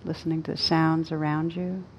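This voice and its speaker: Low, even-toned woman guiding meditation. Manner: gentle, soothing, unhurried instructions with long pauses. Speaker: meditation teacher. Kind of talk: slow guided meditation. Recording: dull lo-fi recording with rumbling background noise.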